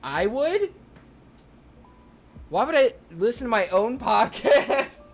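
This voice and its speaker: A young man streaming, chatting casually and excitably with viewers, rambling with lots of fillers. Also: very high-pitched, slow pace. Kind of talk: casual chat reading viewer comments.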